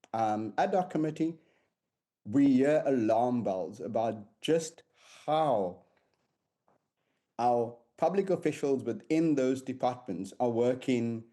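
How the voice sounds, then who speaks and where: Man, security analyst on live news, mellow tone, measured with restarts. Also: medium pitch. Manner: measured, with repeated words and restarts. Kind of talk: live news interview commentary.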